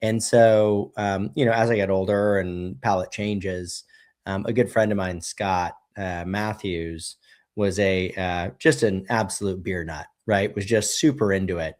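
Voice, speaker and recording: A man in his thirties speaks with a fairly low voice in an easygoing, meandering way. He keeps leaning on "you know" and "right?" as he tells his story to a podcast host. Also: steady tone, measured pace, darkish tone. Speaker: guest, brewery co-founder. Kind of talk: casual conversational storytelling.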